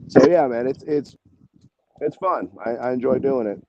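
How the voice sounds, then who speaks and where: A man's voice: mid-range voice, moderate pace, muffled recording, a crowd in the background. A man talking casually as an interview guest, a singer telling stories in a rambling, slangy, salty way. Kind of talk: casual interview chat, anecdotes.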